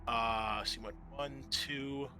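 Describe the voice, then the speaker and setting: Man, game master, bright mid-pitched voice, conversational and hesitant, tabletop roleplaying narration, music behind. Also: fairly even intonation, slow pace.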